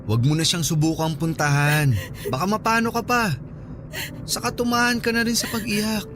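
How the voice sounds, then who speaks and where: A man's voice: high-pitched, steady tone, normal speed, noisy background, natural voice. An actor playing an earnest, flirty coworker smitten with his friend in a Filipino radio drama, coaxing and soothing. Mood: comforting. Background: background music.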